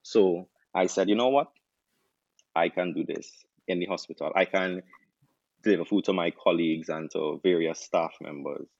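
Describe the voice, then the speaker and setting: Man, Trinidadian accent, very low voice, dry and slightly dull recording, relaxed storyteller. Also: normal speed, gravelly, no room sound. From Trinidad and Tobago. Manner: relaxed, storytelling, frequent restarts. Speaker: doctor turned chef, guest. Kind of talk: casual interview answers.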